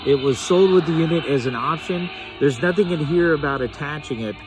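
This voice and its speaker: A man's voice: on the high side, a little fast, poor audio. A man talks through a gadget in a casual, hands-on way. He muses aloud as he goes, unscripted, like a hobby vlogger trying things out. There is some music in the background. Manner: unscripted, musing aloud while tinkering. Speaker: vlogger reviewing a radio.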